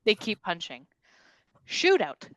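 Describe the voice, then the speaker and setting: A woman's voice: medium pitch, huge pitch swings, slow, fairly bright tone, no room sound, muffled recording. A woman reading scripted stage directions as narrator at a comedic table read.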